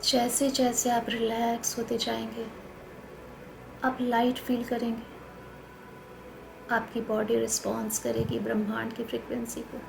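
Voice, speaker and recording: An Indian woman guides a meditation in a fairly high voice, soothing and instructional. She speaks in slow, spaced phrases. Clear background noise sits behind her. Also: monotone.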